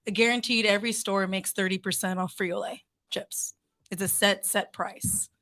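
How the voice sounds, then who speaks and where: Woman, casual interview guest, flat pitch, dry sound, chatty with fillers. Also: normal speed, no echo. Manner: chatty, laughs often, many fillers. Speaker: guest, cannabis cosmetics business.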